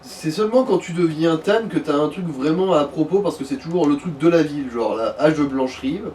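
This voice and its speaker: A man's voice: a little high, brisk, darkish tone, distant mic, a little hiss or hum. A man bantering casually as a gameplay co-commentator, joking with absurd exaggeration. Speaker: let's play co-commentator.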